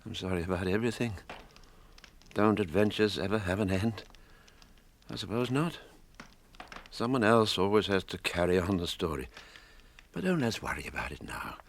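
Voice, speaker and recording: A man's voice: deep voice, lively intonation, normal speed, vocal fry, pauses often, a little hiss or hum. A man acting an elderly, chatty, old-fashioned hobbit with a British accent in a radio drama. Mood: sorrowful, weary.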